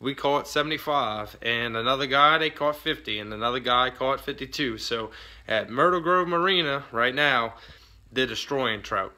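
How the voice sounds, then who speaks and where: A man's voice: mid-range voice, moderate pace, bright, forceful tone. A young man, talking casually to a phone camera at arm's length in a fishing vlog. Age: young adult. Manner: laid-back, drawling, loose grammar. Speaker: fishing vlogger. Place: in a boat on open water, then an indoor garage. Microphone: phone camera held at arm's length.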